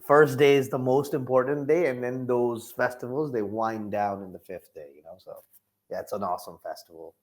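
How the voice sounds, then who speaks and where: A man in his thirties with a Nepali accent, speaking as an interview guest. His voice sits at a middle pitch, and he explains things in an easygoing way, often with "you know" and "like". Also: moderate pace. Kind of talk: casual interview answers.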